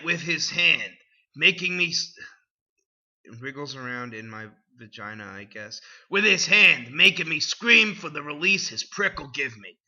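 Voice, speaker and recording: A man reads aloud with an overwrought, theatrical delivery and throws in dry side remarks. His voice is gravelly and very bright, and it leaps around in pitch. It is captured on a dull, lo-fi recording. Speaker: dramatic reader. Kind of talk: over-the-top dramatic reading.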